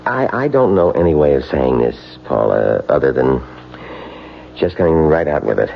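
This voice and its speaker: An actor playing a stern but understanding office boss, hesitant and measured, in 1970s style radio drama. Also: male voice, a bass voice, very animated, gravelly, exaggerated voice. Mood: grave concern. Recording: dull old radio broadcast with hum.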